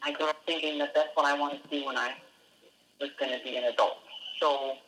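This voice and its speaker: A man's voice: on the high side, level tone, a little fast, bright, forceful tone, slight background noise, low-quality recording. A man from Denmark, a dog musher, answering interview questions in a hesitant way with many fillers and restarts. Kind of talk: casual interview.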